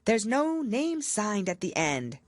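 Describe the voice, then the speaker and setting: A woman's voice: medium pitch, sing-song, takes it slowly, dry recording, pitched above the performer's own voice. A woman voicing a meek male knave in a storybook, deferential and pleading his innocence.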